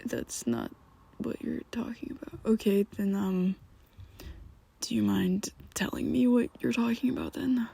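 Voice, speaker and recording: A woman's voice: mid-range voice, unhurried, vocal fry, mellow, husky, heavily acted. A woman voicing a young male vampire best friend in an ASMR roleplay, who talks hesitantly and half-whispers with nervous stammers. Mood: evasive.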